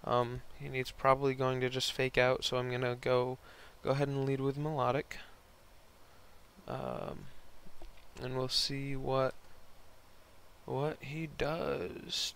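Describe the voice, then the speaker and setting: A young man hosts casual game commentary in a hoarse voice with heavy creak and fry at a middling pitch. He rambles in a laid-back, slangy way as he talks through the battle. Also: flat delivery, slow pace, a little hiss or hum. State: hoarse, voice partly lost from concert the night before. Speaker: host narrating online game battles.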